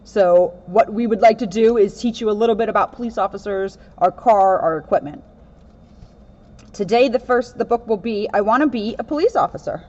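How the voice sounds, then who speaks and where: A woman's voice: mid-range voice, brisk, darkish tone. A woman, a police officer, reading a children's picture book aloud in a dull lo-fi recording. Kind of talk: read-aloud children's story narration. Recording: dull, lo-fi sound.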